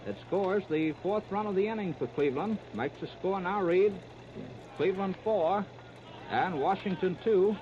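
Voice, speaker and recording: A male baseball announcer gives a live 1930s radio play-by-play in a fairly high voice. He narrates in short, clipped, present-tense phrases. The old recording is muffled, with clear hiss and noise over it. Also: moderate pace.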